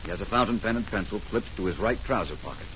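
Low-voiced, unflappable 1940s radio detective. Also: male voice, lively intonation, fast talker, bright, forceful tone. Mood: assured, explanatory. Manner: assured, precise, theatrical delivery. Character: confident, unflappable gentleman detective explaining a murder. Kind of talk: 1940s radio drama acting. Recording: noisy old 1940s radio recording.

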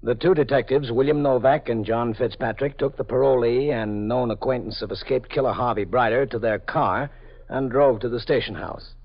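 Matter-of-fact male radio drama narrator. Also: medium pitch. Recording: dull lo-fi old radio broadcast.

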